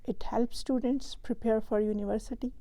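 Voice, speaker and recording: A woman host from Pakistan, reading a prepared explainer in a measured, even way. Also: on the high side, dry recording. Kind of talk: scripted explainer narration.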